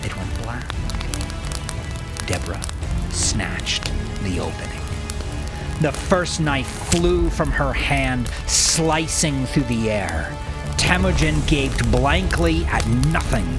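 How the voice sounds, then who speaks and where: Slow, dramatic male narrator over loud music. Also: on the high side. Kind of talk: dramatic scripted fiction narration.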